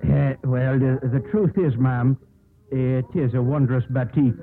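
An actor playing a bashful, respectful sailor with a halting manner, in 1950s radio drama style. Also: male voice, medium pitch, steady tone, lightly acted. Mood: sheepish. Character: bashful, thirsty sailor escorting his mistress. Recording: tinny, narrow 1950s radio broadcast.

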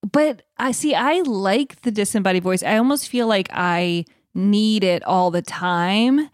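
A woman's voice: mid-range voice, measured pace, dry recording, studio quality. A woman co-hosting a podcast, talking casually in a friendly, complimentary way. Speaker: podcast co-host. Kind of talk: casual chat.

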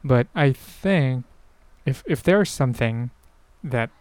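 A man's voice: medium pitch, animated, slow, a little mellow, no room sound. A man with a Filipino accent hosting a casual solo podcast, answering questions in a hesitant, rambling way as he thinks aloud. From Philippines. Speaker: podcast host.

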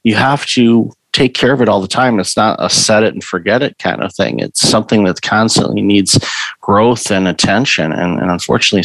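A man with a fairly low voice, recorded very dry, gives conversational advice. He sounds earnest and explains his points as in an interview. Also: a bit bright, no echo, rough recording.

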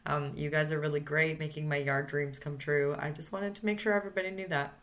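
A woman's voice: deep voice, steady tone, fast, a bit bright. A woman co-hosting a podcast, chatting casually and upbeat with lots of fillers. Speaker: podcast co-host. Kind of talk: casual chat.

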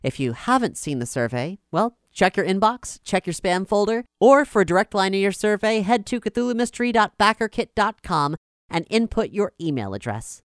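A woman hosting a podcast, reading a scripted announcement in a measured, polished way, recorded close in a studio. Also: medium pitch, lively intonation, smooth, dry recording. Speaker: showrunner and podcast host.

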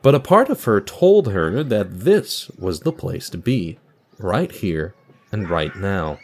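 A man's voice: deep voice, big pitch swings, takes it slowly, a little mellow, slight background noise. A man giving scripted third-person narration for an audio drama.

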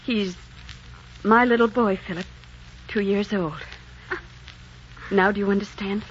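A woman's voice: medium pitch, fairly even intonation, a little slow, close to an everyday voice. An actress playing an earnest, secretive young woman in love in a melodramatic 1940s radio drama. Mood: anguished, confessing. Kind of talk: 1940s romantic radio acting. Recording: muffled old radio recording, noisy.

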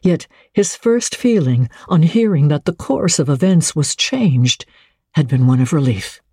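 A woman reads literary fiction in a husky, dark-toned voice. Her delivery is measured and dramatic, with lively rises and falls. The recording is dry and close. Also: low voice, no room sound. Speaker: audiobook narrator.

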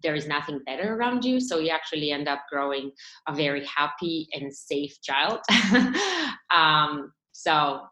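Low-voiced woman in an echoey room. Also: expressive, a little slow, pushed and bright. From Bulgaria. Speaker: startup founder and CEO. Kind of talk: conversational interview answers.

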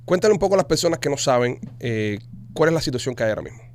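A Cuban man hosting a podcast, rattling off sponsor reads and questions at a fast clip. His talk is chatty and salesy. A faint hum sits under the voice. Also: mid-range voice, lively intonation, a little mellow. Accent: Cuban Spanish. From Cuba. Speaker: podcast host.

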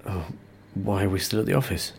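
A man's voice: deep voice, steady tone, fairly quick, creaky, slight background noise. An actor playing a bewildered, nervous man in an audio drama, groggy and stammering as he talks. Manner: hesitant, stammering. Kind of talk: naturalistic audio drama acting.

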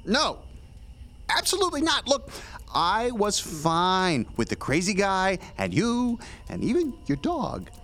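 Indignant, wildly swooping protester. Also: female voice, mid-range voice, huge pitch swings, takes it slowly, a bit bright, full character voice. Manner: emphatic, protesting. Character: indignant, suspicious woman among tavern storytellers.

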